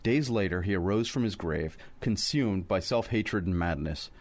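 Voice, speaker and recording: A man's voice: sits low, lively intonation, normal speed. A man on a podcast narrating scripted fantasy lore in an articulate, wry storyteller's manner. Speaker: podcast host.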